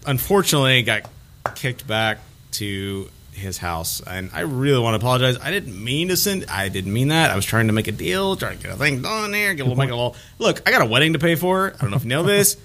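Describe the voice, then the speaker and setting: A man's voice: medium pitch, lively intonation, fast talker, a bit bright, slight background noise. A man co-hosting a comedy podcast, bantering casually in a mock-earnest, repetitive riffing way. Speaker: podcast co-host.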